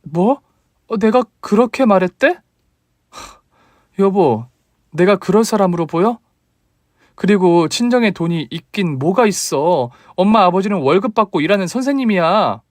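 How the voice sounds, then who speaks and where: A young daughter-in-law who stays courteous yet pushes back firmly, her voice lifted noticeably higher, as a man puts her on. The delivery is earnest and a little indignant. The sound is dry and close. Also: animated, normal speed, pauses often, no echo. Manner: polite, indignant, pleading. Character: young wife, polite but firm daughter-in-law.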